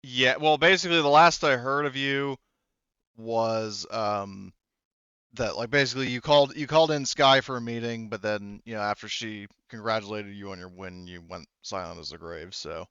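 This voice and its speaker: Male game master, smooth low tone, halting casual narration, muffled voice-chat sound. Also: bright, forceful tone, no echo. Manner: hesitant, rambling delivery with repeated false starts.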